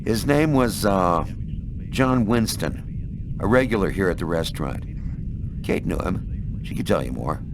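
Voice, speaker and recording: A man's voice: sits low, expressive, unhurried, vocal fry, pauses often, background noise, heavily acted. An actor playing a dutiful, considerate male New York beat cop in a video game, plain-spoken and deferential. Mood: reporting calmly.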